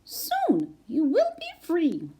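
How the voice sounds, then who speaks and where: A woman voicing a tiny, timid female mouse who speaks gently and earnestly in a high squeaky voice. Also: wildly swooping pitch, heavy background noise, heavily acted. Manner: high squeaky voice, slow and careful.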